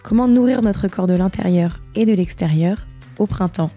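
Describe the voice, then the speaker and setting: A woman's voice: medium pitch, normal speed, warm tone, faint music behind. A woman hosting a podcast, introducing and interviewing a guest. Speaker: podcast host.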